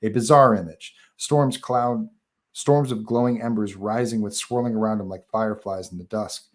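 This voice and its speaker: Low-pitched man narrating a script. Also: steady tone, moderate pace. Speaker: narrator reading stage directions. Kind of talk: scripted narration at a live table read.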